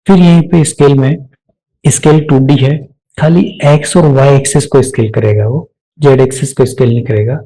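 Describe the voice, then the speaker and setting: Gravelly Indian man teaching software. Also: medium pitch, fairly even intonation, normal speed, rough recording. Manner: explanatory, demonstrating each step aloud. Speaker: software instructor. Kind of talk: step-by-step screen-recorded software tutorial.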